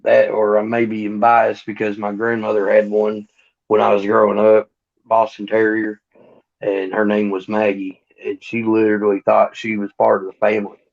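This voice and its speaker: Co-host, late 30s man, low gravelly voice, laid-back storyteller, muffled lo-fi stream audio. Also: monotone, moderate pace. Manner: laid-back, chatty, tells stories. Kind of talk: casual livestream chat.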